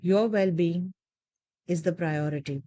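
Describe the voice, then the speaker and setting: A woman leading a guided meditation with gentle, measured, soothing instructions and deliberate pauses. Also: fairly low pitch, no room sound.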